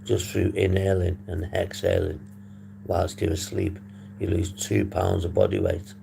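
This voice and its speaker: A man hosting a casual livestream, hoarse and husky, rambling and swearing as he reads the chat. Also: deep voice, sing-song, a little slow, warm tone. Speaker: livestream host. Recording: noisy background hum.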